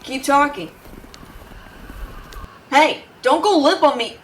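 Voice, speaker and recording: A woman's voice: sits high, lively intonation, slow, recorded from a distance, slight background noise, rough recording. A woman playing a brash but caring tsundere young woman in an intimate audio roleplay, scolding with tender worry underneath. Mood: worried, pleading. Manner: brusque, scolding, exasperated, with tender worried undertones. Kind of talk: intimate dramatic audio roleplay addressed to the listener.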